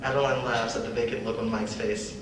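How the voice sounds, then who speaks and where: A man's voice: medium pitch, level tone, a little fast. A young man reading stage directions as scripted narration for a dramatic reading. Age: young adult. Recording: echoey hall from far off, noisy.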